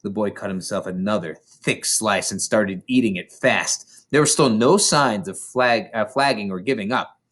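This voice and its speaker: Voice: medium pitch, normal speed, few pauses, a bit bright. A reader narrating a children's novel aloud expressively, occasionally stumbling over words. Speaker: storybook reader.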